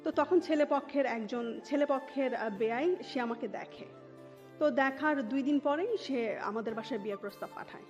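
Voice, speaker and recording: A woman's voice: sits high, normal speed, a little mellow. A woman in her thirties with a Dhaka Bangladeshi accent tells her personal life story as an interview guest, dropping English words into her speech. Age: 30s. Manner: mixes English words into Bengali. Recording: dull muffled sound with music underneath. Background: music.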